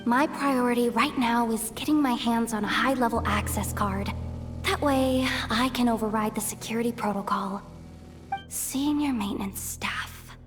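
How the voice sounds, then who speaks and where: A woman's voice: a little high, moderate pace, soft background music, lightly acted. A woman playing a feisty, self-reliant young hacker who mutters theatrically to herself in a video game. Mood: self-coaxing, plotting. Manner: exasperated, theatrical muttering and self pep talk. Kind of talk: animated video game voice acting.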